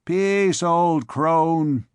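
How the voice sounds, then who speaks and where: A man's voice: a little high, very slow, clean tone, no echo, studio quality, pitched above the performer's own voice. A man voicing a tall, grave citizen who speaks in haughty rebuke, in a storybook reading. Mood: stern rebuke.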